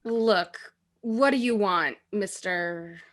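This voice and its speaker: A woman's voice: medium pitch, slow, a bit bright, pitched below the performer's own voice. A woman voicing a sassy young shop worker in a screenplay reading, with an emphatic delivery. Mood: sarcastic, wary.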